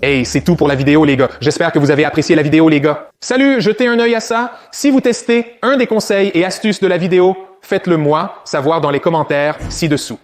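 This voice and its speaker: A man's voice: fairly high pitch, steady tone, fairly quick, hardly any pauses, faint music behind. A man coaching viewers through a back exercise, giving direct step-by-step instructions on form. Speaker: fitness coach.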